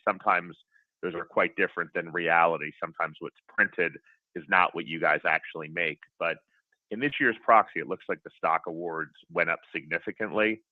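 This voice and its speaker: Deep voiced analyst guy asking stuff on an earnings call, informal and direct, phone sound. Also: dry recording. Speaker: financial analyst asking questions. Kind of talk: conversational earnings call questioning. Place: conference call. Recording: dry, tinny telephone line.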